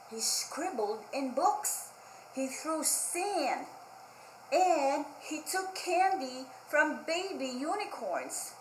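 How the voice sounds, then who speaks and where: Lady doing kids storytime, slow emphatic reading, high pitched, talks to the little ones. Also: reverberant, slight background noise, low-quality recording. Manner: expressive, emphatic, simple asides to young listeners. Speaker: children's librarian storytime host. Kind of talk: read-aloud picture-book storytime for young children.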